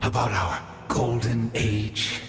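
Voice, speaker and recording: A male actor playing a cunning, fervent exile in a video game, speaking in a measured, formal, theatrical way with dramatic pauses. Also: on the low side, fairly even intonation, reverberant, in a large hall. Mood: probing, wistful. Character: cunning, fervent exiled man scheming to restore his fallen homeland. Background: music.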